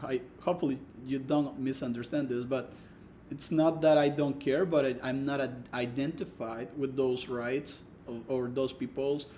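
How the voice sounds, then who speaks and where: A man with a Salvadoran Spanish accent talks in a relaxed interview, his voice sitting at an ordinary middle pitch. He restarts his sentences often and leans on "like" as he gathers his thoughts. Faint noise hums behind him. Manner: halting, many restarts and "like". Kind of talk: casual conversational interview.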